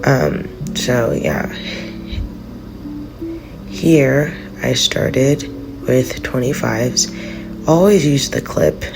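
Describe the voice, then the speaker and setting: Very low, gravelly congested woman narrating. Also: extremely slow, long pauses, over music, rough recording. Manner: relaxed, rambling. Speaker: vlogger. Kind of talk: casual voice-over narration.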